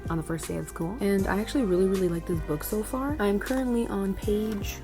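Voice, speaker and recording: A woman's voice: mid-range voice, a little fast, over music. A young woman chatting casually in a home vlog, rambling with fillers while her phone camera sits on the couch. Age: young adult. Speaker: student vlogger. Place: home room under a loft bed.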